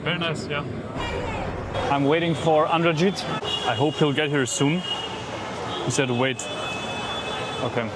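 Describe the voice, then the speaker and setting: A man with an Austrian accent talks casually as a travel vlogger, his voice a little higher than average. German is his first language. He is recorded outdoors amid very loud traffic and street noise. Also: fairly even intonation, unhurried, long pauses. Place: outdoors on crowded city festival streets.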